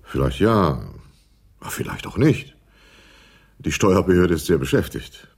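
An actor playing a smooth, evasive male accountant in a German radio crime drama, speaking in a measured, hedging way. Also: deep voice, lively intonation, heavily acted.

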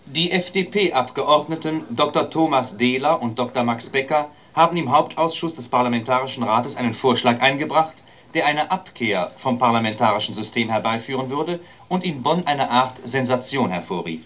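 A man's voice: mid-range voice, lively intonation, moderate pace, hardly any pauses, a bit bright. Dull-sounding male news narrator. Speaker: narrator, announcer. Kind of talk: scripted news-report narration. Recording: slightly dull, limited bandwidth.

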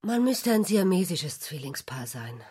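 An actress playing a sharp-witted, provocative, tired sister in a literary radio drama, speaking in an articulate, insistent way. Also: female voice, fairly low pitch, very animated, measured pace, husky, no echo, studio quality, exaggerated voice.

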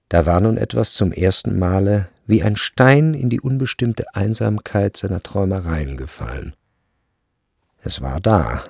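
A man with a husky, gravelly voice narrating a literary radio drama from a script. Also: on the low side, a little mellow, dry recording, studio quality. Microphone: close, dry studio recording.

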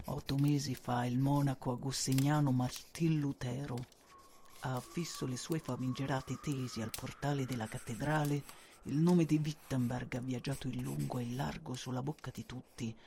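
A man's voice: medium pitch, steady tone, normal speed, vocal fry, warm tone, slight background noise, close to an everyday voice. A man acting a devoted, servile informer who formally and deferentially reads out secret reports to his lord in a radio drama. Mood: deferential, grave. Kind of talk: radio drama letter narration.

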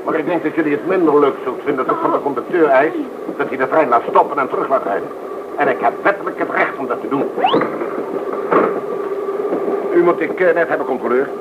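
An actor playing an indignant, demanding upper-class gentleman passenger in a Dutch radio play, with a pompous, blustering manner. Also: a little high, fast, close to an everyday voice. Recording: muffled, very noisy old radio recording.

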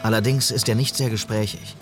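A man plays a dutiful policeman in a radio Western. His voice is low, sober and clipped, with formal phrasing and some music behind it. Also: fairly even intonation, a little fast, lightly acted. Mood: sober, determined. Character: earnest, dutiful policeman narrating his logbook. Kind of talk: German radio drama, diary narration.

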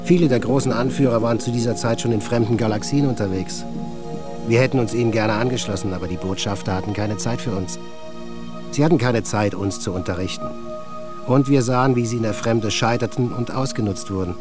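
A man acting an earnest resistance veteran with a low voice. He looks back in a measured, proud, explanatory way for a radio drama, with music clearly behind him. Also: natural voice. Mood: earnest, reflective. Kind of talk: radio drama, interview-like recollection. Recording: music under the voice.

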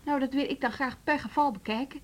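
A woman's voice: high-pitched, fast talker, natural voice. An actress playing a female music teacher in a radio drama, who talks in a firm, reasoning, insistent way.

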